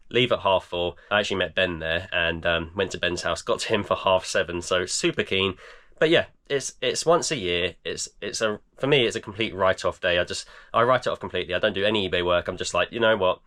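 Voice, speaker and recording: Croaky tired man in a garage vlog. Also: low voice, sharp tone. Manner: tired, rambling with many fillers. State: croaky, voice almost gone. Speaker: eBay reseller vlogger. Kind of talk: casual vlog chat. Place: home garage.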